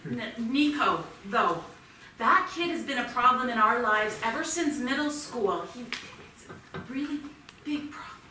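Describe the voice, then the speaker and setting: A woman acting an anxious, high-voiced pleading mother. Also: expressive, pushed and bright. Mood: earnest pleading. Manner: pleading, emotional, halting. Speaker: actor. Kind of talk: staged play reading. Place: theatre stage. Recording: echoey theatre, distant mic, faint noise.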